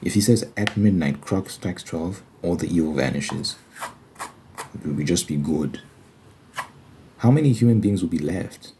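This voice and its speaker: A man speaks in a very deep, soft-edged, mellow voice. He delivers an informal ASMR monologue, pressing his argument with emphatic rhetorical questions. A faint noise sits behind the voice. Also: expressive, measured pace, pauses often. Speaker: host of an ASMR Bible study channel.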